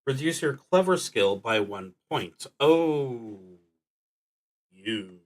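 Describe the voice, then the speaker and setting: A man streaming a solo tabletop roleplaying game, thinking aloud in a halting, deliberate way with pauses mid-thought. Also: mid-range voice, animated.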